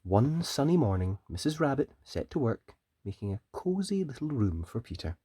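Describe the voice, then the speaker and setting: A children's story reader and host, narrating a picture book in a gentle, deliberate and expressive way. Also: mid-range voice, slightly rough, no echo, clean studio sound.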